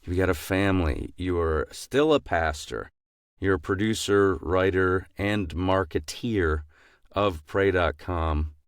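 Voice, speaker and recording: A man's voice: deep voice, clean tone, no echo, clean studio sound. A man co-hosting a podcast, asking questions in a playful, teasing way. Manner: playful, teasing, quick to laugh. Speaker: podcast co-host. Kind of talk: casual podcast conversation.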